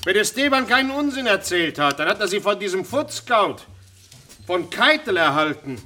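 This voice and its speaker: A puzzled chef playing detective asks urgent questions with lively, swooping intonation. It is a radio drama scene with clear sizzling kitchen noise behind him. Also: male voice, high-pitched, normal speed, heavily acted. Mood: probing, urgent. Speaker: actor in a radio crime drama.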